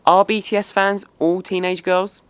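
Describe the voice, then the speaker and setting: A woman's voice: mid-range voice, flat delivery, normal speed, clean tone, dry recording. A British woman hosting, giving a scripted, upbeat introduction straight to camera. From Britain. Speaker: host.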